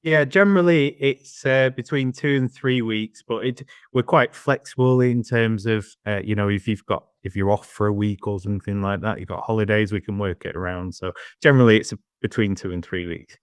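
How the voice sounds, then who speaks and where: A British man from the company answers questions on a video call in a steady, reassuring way. His voice is smooth and clear, and the sound is dry. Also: on the low side, brisk, hardly any pauses, dry recording. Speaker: company representative.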